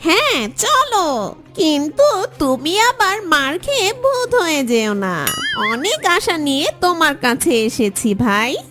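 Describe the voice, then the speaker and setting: Tiny cheeky cartoon girl bird, super high n slow, teasing and flattering, bit of music behind. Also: heavily acted. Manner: drawn-out, playful mockery. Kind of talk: children's cartoon dialogue.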